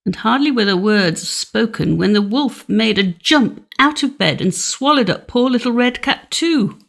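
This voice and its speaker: A woman narrating a fairy tale audiobook in a measured, expressive storytelling manner. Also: mid-range voice, creaky.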